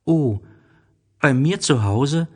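Man putting on a little boy prince, raised pitch, lilting intonation, innocent, curious, earnest storybook delivery. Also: animated, slow, a little mellow. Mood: modest. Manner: wondering, earnest, questioning. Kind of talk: storybook audio drama acting.

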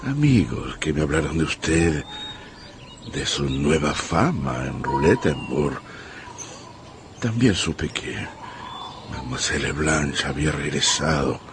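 An actor playing an aging, lovesick general in a radio drama, anxious and pleading with a halting, dramatic delivery. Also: male voice, a bass voice, expressive, background noise, full character voice. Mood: earnest, confessing. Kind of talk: Spanish-language radio drama acting.

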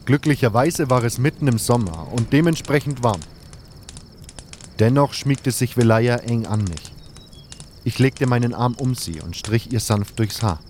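A man's voice: medium pitch, animated, unhurried, darkish tone, a little hiss or hum, close to an everyday voice. A man playing a tender, reflective adventurer hero, narrating his own tale in a fantasy audio drama. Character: nameless male adventurer hero recounting his story, tender. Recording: outdoor nature sounds behind voice.

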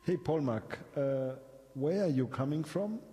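A man of forty-nine with an Austrian accent, chatting casually and playfully in halting, repetitive English as a native German speaker. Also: a little high, expressive, dark tone. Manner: playful, halting, repeats words. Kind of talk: casual chat with a stranger playing music. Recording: long reverb with faint music. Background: faint music being played.

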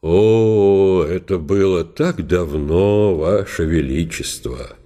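An actor playing an old, courtly field marshal, modest and nostalgic. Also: male voice, deep voice, slow pace, mellow, big-room sound. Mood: courtly, wistful.

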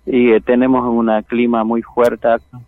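A man from northern Argentina giving informal interview answers over a phone, calm and plain, in short phrases. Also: medium pitch, moderate pace, dry recording. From Formosa, northern Argentina. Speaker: interviewee, community representative. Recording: tinny, narrow phone line.

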